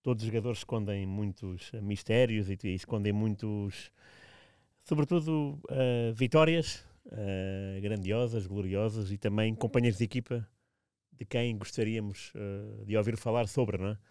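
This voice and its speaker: A man with a European Portuguese accent co-hosting a casual interview, meandering and hesitant with drawn-out pauses. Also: on the low side, steady tone, darkish tone, clean tone, dry recording, studio quality. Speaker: co-host interviewer.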